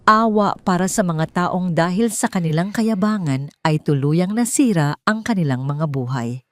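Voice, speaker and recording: A woman with a Filipino accent narrating a scripted dramatic story in measured, sentimental phrasing. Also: on the low side, expressive, no echo.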